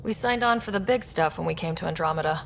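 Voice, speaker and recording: A woman's voice: lowish voice, fast talker, creaky, soft background music. A woman playing a young, resolute space explorer in a video game, earnest and understated. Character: young, new, resolute human explorer leader, female.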